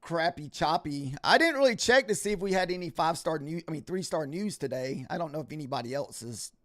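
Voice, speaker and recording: Guy rambling on his trading livestream, bit scratchy voice, kinda high, dead dry room. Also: lively intonation, a little fast, fairly bright tone, no echo. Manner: rambling, many fillers and restarts. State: voice getting scratchy from talking all week. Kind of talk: casual live-stream chat with viewers.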